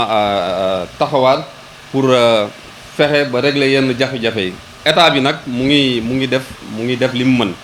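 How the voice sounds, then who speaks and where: A man's voice: medium pitch, fairly bright tone, a little hiss or hum, rough recording. Senegalese man giving a hesitant ceremony speech. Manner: hesitant, repeating words, switching between Wolof and French. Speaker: local government official.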